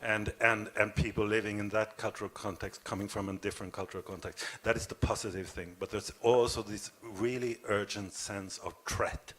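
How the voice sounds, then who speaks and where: A man from Norway answering at a panel discussion, speaking haltingly with many repeated words. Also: lowish voice, steady tone, fairly bright tone.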